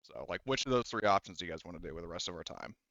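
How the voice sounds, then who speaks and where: A man running a tabletop roleplaying game casually as game master, talking in a halting, rambling way. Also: deep voice, lively intonation, fairly bright tone, dry recording, muffled recording.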